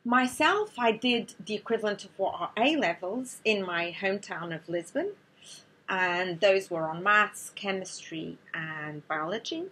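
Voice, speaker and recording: A woman from Portugal, giving a measured, explanatory talk to students as a neuroscientist. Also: mid-range voice, pushed and bright, breathy edge, poor audio. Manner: measured, explanatory, with a few restarts.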